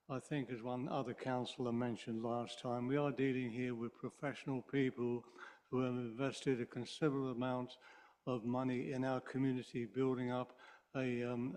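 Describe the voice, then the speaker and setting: A male councillor speaks for a motion in council debate. His voice stays flat and even, and he stumbles and restarts often. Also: medium pitch. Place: council chamber.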